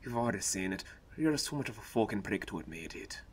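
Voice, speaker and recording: A man's voice: fairly low pitch, lively intonation, quick pace, slightly rough. An actor playing a smug, blunt man who mocks the people he talks to. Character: smug, mocking man who sees souls. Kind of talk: fan audio drama acting.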